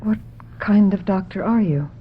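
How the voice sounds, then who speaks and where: An actress playing a distraught, guilt-ridden, fragile woman in a radio mystery, halting and sometimes sinking into a whisper. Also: medium pitch, a little hiss or hum, close to an everyday voice. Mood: dazed, confused. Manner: halting, breathy lapses into whisper, melodramatic. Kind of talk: old-time radio mystery acting. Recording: dull lo-fi old radio recording, music behind.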